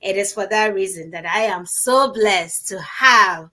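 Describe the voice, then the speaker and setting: A woman hosting an online interview, welcoming her guest in a warm, upbeat way. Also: medium pitch, a little slow. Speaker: host.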